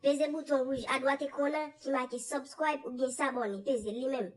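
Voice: high-pitched, steady tone, moderate pace, natural voice. A performer with a Haitian accent voicing a pushy young male cartoon character in a repetitive channel promo.

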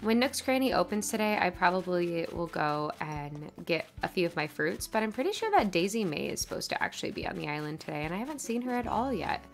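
A woman's voice: lowish voice, sing-song, fairly quick, hardly any pauses. A woman with a stuffy, congested nose, chatting casually over her gameplay as a channel host. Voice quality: slightly nasal. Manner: chatty, upbeat, rambling.

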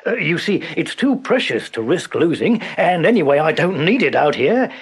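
A crafty peasant farmer, put on by a man reading a fable aloud. He gushes over-polite flattery in a raised, lively voice with a husky grain. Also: on the high side, normal speed, pitched above the performer's own voice, heavily acted.

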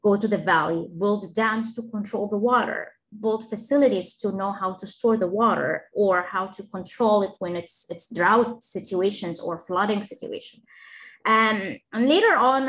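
A woman's voice: medium pitch, normal speed, a bit bright, low-quality recording. A woman from Egypt, an engineering professor, giving a webinar talk and answering questions in an earnest, explanatory way, with fillers and restarts. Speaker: engineering professor, guest speaker.

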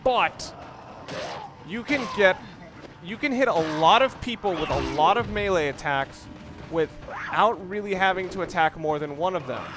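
A man giving unscripted gameplay commentary, explaining things in a halting, analytical way. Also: high voice, background noise. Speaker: let's play commentator. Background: video game sounds and music.

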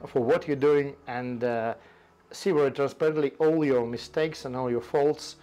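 A man in his forties with a Russian accent, speaking English as a second language in a halting, casual conversation on an outdoor balcony. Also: mid-range voice, dark tone. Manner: halting, restarts often, non-native grammar. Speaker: tea company host. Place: covered balcony outdoors, forest behind.

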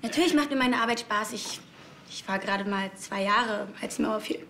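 An actress playing an earnest, principled young newspaper journalist in a TV drama. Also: female voice, medium pitch, moderate pace, fairly bright tone, a little hiss or hum, lightly acted.

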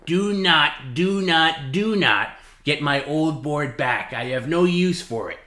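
Guy reading stuff out to wind someone up, sharp bright voice, echoey room. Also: on the high side, animated, slow. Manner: goading, emphatic, repetitive. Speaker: livestream host. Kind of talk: dramatic reading mixed with casual talk. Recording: roomy, echoey sound.

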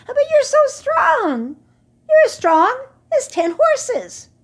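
Woman doing eager, squeaky young camels. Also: slow, full character voice. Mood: excited. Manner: excited, pleading.

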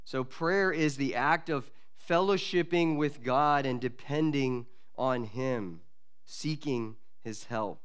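A man in his forties, a pastor, preaching an expository sermon from a lectern mic in a church, earnest and measured with pauses and restarts. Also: medium pitch, fairly bright tone, clean tone. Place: church sanctuary at a wooden lectern. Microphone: lectern microphone.

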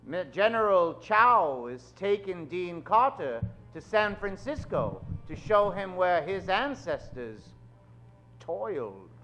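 A man in middle age narrating an old-time radio drama on stage, suave, with dramatic pauses. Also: high-pitched, very animated, bright, forceful tone, soft background music. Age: middle-aged. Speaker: host and announcer of a radio show. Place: stage of a small theatre. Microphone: vintage-style stand microphone.

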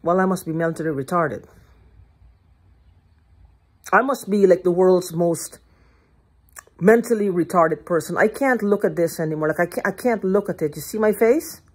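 An older woman ranting to her phone camera in a living room, heated, profane and repetitive, with a hoarse throat. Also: lowish voice, normal speed, long pauses, a little mellow. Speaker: vlogger. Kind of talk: agitated rambling monologue to camera. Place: living room at home, indoors. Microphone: phone held at arm's length.

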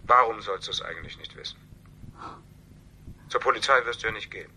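Crime radio play: cool, evasive male confidant, piercingly bright tone, slight background noise. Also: lowish voice, brisk, poor audio. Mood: cool, defiant. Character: evasive, cool, calculating male family friend. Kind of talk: German radio crime drama acting.

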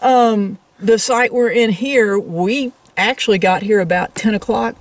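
A woman's voice: on the high side, measured pace, no room sound, recorded from a distance. A woman co-hosting a travel vlog, explaining things in casual, conversational talk addressed straight to viewers. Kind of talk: casual explanatory chat to camera.

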